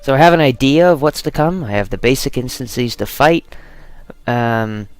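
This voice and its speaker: A man's voice: mid-range voice, lively intonation, normal speed, clear, resonant tone. A man hosting a gaming video with casual, rambling, self-deprecating commentary. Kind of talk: casual Let's Play commentary.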